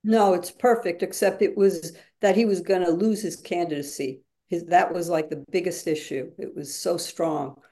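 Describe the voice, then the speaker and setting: A woman's voice: fairly low pitch, steady tone, moderate pace, hardly any pauses, warm tone. A woman co-facilitating a workshop, explaining informally in a direct, emphatic way.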